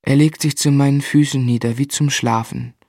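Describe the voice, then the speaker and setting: An actor playing a sorrowful, reflective widower, narrating his own story in a measured, solemn radio drama style. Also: medium pitch, steady tone, background noise, close to an everyday voice. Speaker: narrator and protagonist. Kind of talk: scripted first-person radio drama narration.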